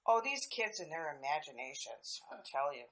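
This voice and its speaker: A woman's voice: low-pitched, sing-song, normal speed, fairly bright tone, full character voice, poor audio. A woman playing a cheerful housewife chatting with a friend in an amateur radio drama, breezy and gossipy. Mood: chatty.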